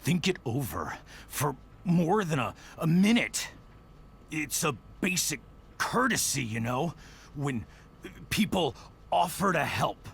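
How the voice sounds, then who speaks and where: An actor playing a stern middle-aged stepfather in a video game drama, lecturing in a deliberate, authoritarian manner. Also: fairly high pitch, sing-song, gravelly, a bit bright, husky, heavily acted. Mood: stern, angry.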